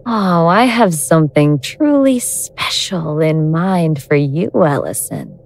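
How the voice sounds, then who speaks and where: A woman playing an awakened ancient goddess in an audio drama, speaking in a measured, sardonic, grandiose way with cold contempt. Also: sits low, very animated, creaky, full character voice. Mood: tender, restrained.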